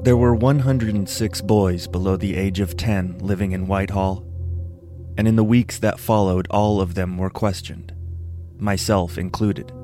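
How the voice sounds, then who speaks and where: A man reading a scripted first-person story as narrator, in a measured, solemn manner. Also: sits low, steady tone, mellow. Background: music.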